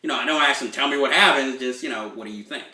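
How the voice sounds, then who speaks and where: A man's voice: mid-range voice, very fast, pushed and bright, echoey room, recorded from a distance. A man hosting a casual film review show, talking in a rambling, self-deprecating way. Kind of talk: casual chat.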